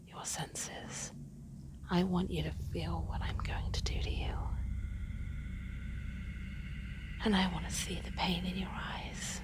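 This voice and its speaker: Woman playing a slow whispering sadistic demoness. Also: fairly low pitch, exaggerated voice. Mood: cruel, teasing. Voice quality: breathy whisper with creaky edge. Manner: slow, taunting, drawn-out menacing delivery. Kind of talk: whispered dark audio roleplay.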